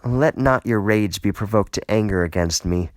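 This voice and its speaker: A man's voice: fairly low pitch, normal speed, dry recording, lightly acted. A man voicing a frightened, awestruck Gideon who pleads with God in a dramatised scripture reading. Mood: earnest, pleading. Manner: pleading, exclamatory.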